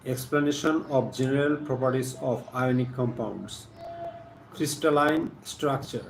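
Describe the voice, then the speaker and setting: A man with a Bangladeshi accent giving a live chemistry lesson, partly reading aloud in a halting, deliberate manner, repeating phrases. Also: medium pitch, creaky. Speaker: science teacher hosting a live stream. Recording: echoey room with faint background noise.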